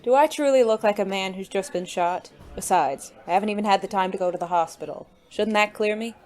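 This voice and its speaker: Someone acting smooth posh-mannered gentleman, calm, arch n teasing, formal wording, noir radio play. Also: mid-range voice, brisk, faint music behind, lightly acted. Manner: genteel, arch, formal diction. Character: suave, unflappable gentleman client, teasing and secretive. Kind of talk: noir audio drama acting.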